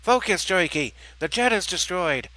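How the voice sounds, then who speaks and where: A man's voice: fairly high pitch, normal speed, pushed and bright, dry recording. A man voicing a stern, protective turkey warrior leader, blunt and grim, with a New Jersey accent. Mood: frustrated.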